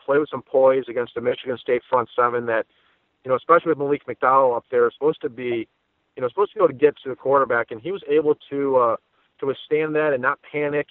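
A man with a mid-pitched voice talks quickly through sports analysis as a guest. He is talkative and conversational, heard over a dry, narrow phone-like line. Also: fairly even intonation, no room sound. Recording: tinny phone-line audio.

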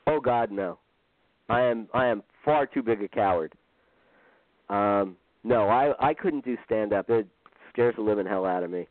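A man's voice: on the low side, normal speed, no room sound. Self-deprecating man on a tinny line. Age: 50s. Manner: self-deprecating, hesitant with many ums. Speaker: author guest. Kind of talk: casual interview. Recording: tinny phone-line sound, dry.

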